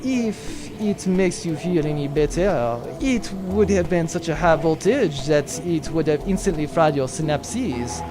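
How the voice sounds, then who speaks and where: Guy doing a smug nerdy ship engineer, kinda high voice, deadpan and pedantic, music under it, scifi comedy audio play. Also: a little slow, hardly any pauses. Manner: precise, pedantic, formal wording. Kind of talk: sci-fi comedy audio drama.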